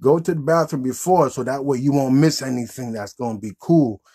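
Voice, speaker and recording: A man hosts a puppet show in a casual, improvised way. His voice is husky and unpolished, that of someone in his thirties. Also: mid-range voice, brisk, dark tone. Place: small indoor room with green backdrop.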